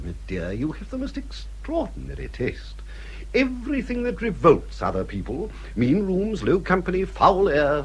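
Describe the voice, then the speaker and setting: A snobbish older English gentleman in a period radio drama. His voice is husky and fairly high for a man, and it swoops extravagantly as he drawls his pompous disdain. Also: full character voice, poor audio. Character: haughty, snobbish older father of grown daughters.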